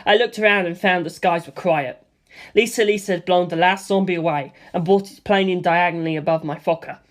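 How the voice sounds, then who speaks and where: Give this a male amateur reader working through an action novel in the first person. He tells the story in an animated way, as the hero recounting his own fight. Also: high-pitched, fairly quick.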